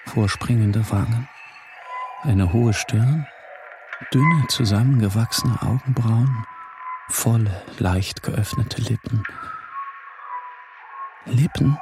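Male narrator, very slow delivery, solemn, dramatic pauses, literary audiobook, clear music underneath. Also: medium pitch. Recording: music behind the voice.

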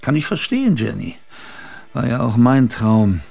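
An actor playing an older man in a radio drama, wryly recalling his youthful polar adventure with dramatic pauses and a gravelly voice. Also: mid-range voice, big pitch swings, darkish tone, heavily acted. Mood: wistful, wry. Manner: dramatic storytelling with weighted pauses and rhetorical questions. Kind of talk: first-person radio drama narration.

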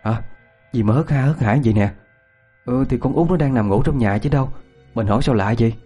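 A man's voice: fairly quick, warm tone, slightly rough, faint music behind, natural voice. A man voicing a farmer's wife in a storytelling, an anxious young mother who stammers and pleads. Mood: puzzled. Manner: stammering, pleading, high-strung.

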